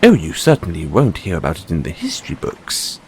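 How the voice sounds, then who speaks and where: A man playing a proud, secretive merman prince in an audio roleplay, speaking formally and deliberately, courteous but wary of humans. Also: sits low, wildly swooping pitch, a little mellow, slight background noise, heavily acted. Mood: guarded, earnest. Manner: formal, deliberate, measured phrasing with dramatic pauses. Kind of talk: scripted audio roleplay addressed to the listener.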